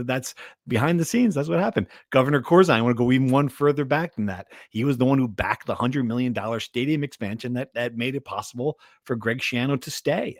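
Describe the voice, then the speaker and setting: A man hosts a casual sports podcast with a mid-pitched voice. He restarts and rephrases as he goes, punching key words. The sound is close and dry. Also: no echo.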